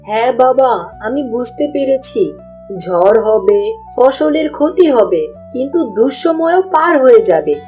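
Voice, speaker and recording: A woman's voice: high-pitched, expressive, measured pace, a little mellow, faint music behind. A woman voicing an earnest, precocious young village daughter in a cartoon, with a questioning manner. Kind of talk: cartoon voice acting.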